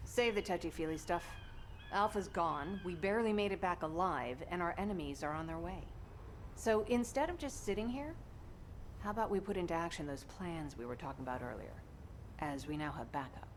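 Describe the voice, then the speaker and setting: A woman's voice: on the low side, lively intonation, fairly quick, slight background noise, lightly acted. A woman voicing a blunt, hardened soldier mother in a video game, with a curt, sardonic way of talking. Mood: impatient. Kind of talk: video game cutscene acting.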